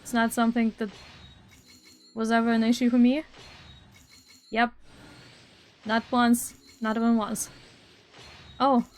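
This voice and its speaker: A woman's voice: fairly high pitch, level tone, measured pace, long pauses. A young woman from Estonia, streaming and chatting casually, rambling with dry sarcastic asides. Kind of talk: casual live-stream chat. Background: faint music.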